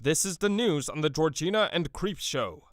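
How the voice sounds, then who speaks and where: A man's voice: fairly high pitch, expressive, normal speed, no room sound, studio quality, lightly acted. A man playing a pompous, formal internet news anchor in an audio comedy parody. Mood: pompous, solemn.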